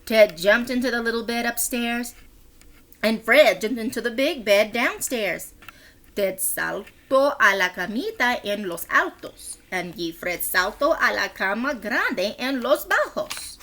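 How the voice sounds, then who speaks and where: Animated, high-voiced woman reading aloud. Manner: animated, drawn-out storytelling. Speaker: teacher reading a picture book aloud. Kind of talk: expressive children's read-aloud in two languages.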